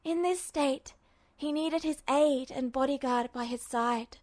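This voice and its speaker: A woman reading fiction aloud as a scripted story narrator, measured, with dramatic pauses. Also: high voice. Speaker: amateur audiobook reader.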